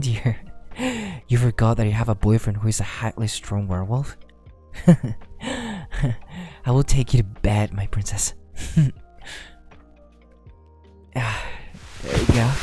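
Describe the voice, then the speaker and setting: A shy young werewolf boyfriend, acted by a man, speaks softly in a mellow, relaxed voice. He takes his time, hesitant and affectionate, in an intimate ASMR roleplay with music playing behind him. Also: mid-range voice, slow, pauses often, husky, heavily acted. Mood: soothing, loving. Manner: hesitant, affectionate, soft-spoken, often chuckling. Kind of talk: soft romantic ASMR roleplay.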